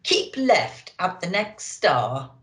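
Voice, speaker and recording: A woman's voice: lowish voice, very animated, slow, a bit bright, reverberant, exaggerated voice. A woman voicing a bossy female sat-nav in a children's storybook, giving commanding directions.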